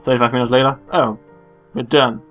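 A man's voice: medium pitch, steady tone, brisk, a bit bright, faint music behind. A young man giving casual, joking game commentary in a playful, self-mocking way. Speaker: let's play host.

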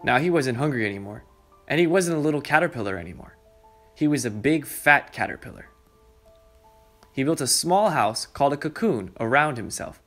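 A young man hosting a children's story time, reading aloud in a deliberate manner and speaking directly to young listeners. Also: medium pitch, lively intonation, fairly bright tone. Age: young adult. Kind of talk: read-aloud storytelling for preschoolers. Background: background music.

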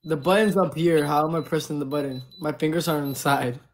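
A young man hosting a phone livestream, teasing his guest and shouting out viewers who send gifts. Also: a little high, steady tone, brisk. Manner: boastful, teasing, shouting out gifters. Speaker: livestream host. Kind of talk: casual livestream banter. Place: indoor room. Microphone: phone at arm's length.

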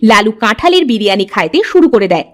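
A woman's voice: mid-range voice, sing-song, quick pace. A woman narrating a children's cartoon tale in a scripted storybook style.

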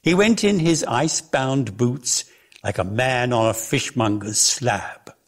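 A man giving an expressive, theatrical reading of lyrical prose as a measured storyteller. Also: medium pitch. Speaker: reader of a dramatic story.

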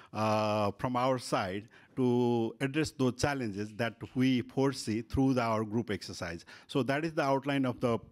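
A middle-aged man from Nepal, English not his first language, leads a conference session into a handheld mic. He talks at an ordinary pitch, pausing often with "uh" and restarting his sentences as he goes. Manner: halting, frequent fillers and restarts. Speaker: workshop moderator. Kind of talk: conference panel presentation. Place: conference room with panel table. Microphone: handheld microphone.

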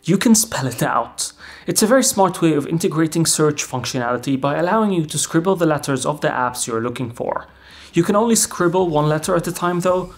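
A young man hosting a tech video, narrating a scripted review in a clear, earnest and opinionated way from a desk at home. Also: a little high. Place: home desk room with lamp.

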